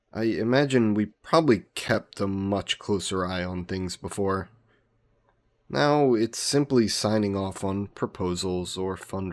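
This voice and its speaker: A man playing an old aristocratic vampire in a romantic audio roleplay, speaking in formal, genteel, measured phrases. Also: low voice. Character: ancient aristocratic male vampire, courtly, protective, gently teasing.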